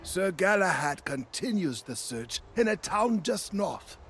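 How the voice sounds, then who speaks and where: A man's voice: sits high, very animated, a little slow, fairly bright tone, a little hiss or hum, exaggerated voice. A man playing an earnest, urgent ally in a video game, speaking in a theatrical, emphatic way. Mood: grave concern. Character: earnest, animated male ally warning of spreading corruption. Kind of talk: video game quest dialogue.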